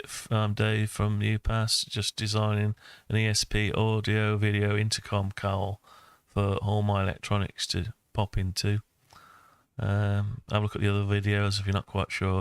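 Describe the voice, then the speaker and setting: An older man with a low, smooth voice talks through a screen-recorded tutorial in a casual way. His delivery stays very flat as he rambles and thinks aloud. The sound is close and dry, as from a desk microphone. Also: moderate pace, no room sound. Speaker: maker and video host.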